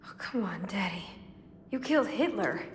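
A woman's voice: mid-range voice, animated, moderate pace, slight background noise, close to an everyday voice. An actress playing a determined young woman fighter in a video game, blunt and insistent. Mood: urgent, questioning. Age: young adult. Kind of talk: dramatic video game cutscene acting. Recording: echoey cavern reverb, loud music.

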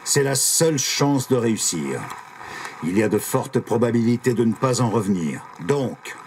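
An actor playing a resolute supersoldier squad leader in a video game, firm and measured. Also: male voice, medium pitch, lively intonation, a little mellow, slight background noise, lightly acted. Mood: grimly determined. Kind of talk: video game character acting.

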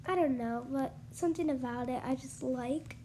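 A woman's voice: high-pitched, moderate pace, mellow, slight background noise. A young woman with a congested, stuffy voice, chatting casually through a tour of a house she built in a game, full of ums and likes. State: congested from allergies. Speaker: gaming YouTuber.

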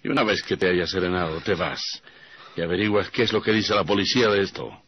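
A man acts out a cool gangster boss in radio theatre, low and controlled, handing out menacing orders. The recording is dull, with some hiss. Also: brisk, natural voice. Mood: cool, commanding. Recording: dull, noisy old radio drama recording.

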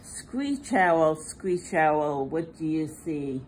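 A woman reads a picture book aloud for little children in a sing-song, repetitive rhythm. Her voice is soft-edged and mellow, with very lively swings of pitch. It is recorded indoors with faint room noise behind her. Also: extremely slow, low-quality recording. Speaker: children's storybook reader. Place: indoors at a table.

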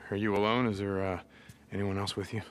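A man's voice: lowish voice, flat delivery, fast talker, noisy background, lightly acted. A man voicing a rugged, wry and protective American biker in a video game, casual and understated. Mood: gentle, searching. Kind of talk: naturalistic video game cutscene acting.

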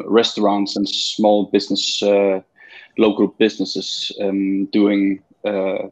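Low, flat Danish-accented man. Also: muffled recording. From Denmark. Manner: halting, many fillers. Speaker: agency owner panelist.

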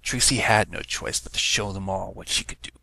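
A man's voice: low-pitched, steady tone, a little fast, gravelly, sharp tone. A man narrating a fan fiction story, reading aloud from a script.

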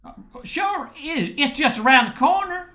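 A man's voice: extremely high pitch, lively intonation, bright, forceful tone, full character voice. An older actor playing a nervous, stammering man in an amateur radio drama. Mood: nervous, hesitant. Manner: halting, stammering. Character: George, nervous stammering male co-worker and friend.

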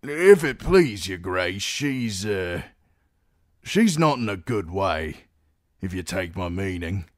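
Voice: deep voice, sing-song, slow pace, dry recording, clean studio sound, heavily acted. A narrator as an awkward, deferential castle guardsman. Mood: apologetic. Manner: hesitant, apologetic.

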